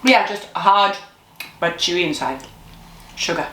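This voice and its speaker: A woman's voice: on the low side, animated, a little slow, fairly bright tone. Zimbabwean woman chatting in an echoey room. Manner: chatty, laughs often, nostalgic. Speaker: vlogger. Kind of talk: casual chat. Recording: echoey room, faint noise.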